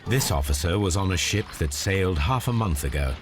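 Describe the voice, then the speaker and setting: An actor playing a keen, confident middle-aged British gentleman detective in a video game, speaking formally and precisely. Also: low voice, lively intonation, brisk, noisy background. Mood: analytical. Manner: precise, formal, articulate, self-assured. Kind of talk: video game cutscene acting, deductive monologue.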